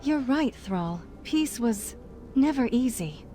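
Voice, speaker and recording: An actress playing an earnest, resolute mage leader in a video game, measured and authoritative. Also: female voice, a little high, expressive, dark tone. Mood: somber. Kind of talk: video game character acting. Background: music.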